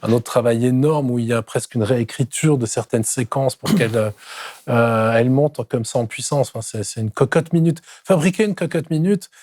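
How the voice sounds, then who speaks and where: A man, a screenwriter, talking in a casual interview, thoughtful and halting with many fillers. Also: mid-range voice, darkish tone, dry recording.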